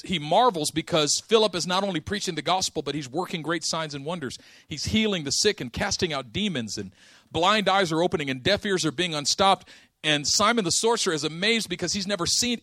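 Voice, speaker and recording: A man preaching a sermon, telling a story with lively acted-out dialogue and casual slang. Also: on the high side, no room sound, clean studio sound. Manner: animated storytelling, acting out dialogue.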